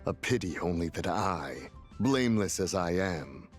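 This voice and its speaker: A man's voice: deep voice, animated, unhurried, soft background music. A man playing a stately, self-righteous immortal in a fantasy game, with formal, archaic diction. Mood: aggrieved. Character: stately immortal male adeptus, solemn, self-righteous and dryly sly. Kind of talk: fantasy video game voice acting.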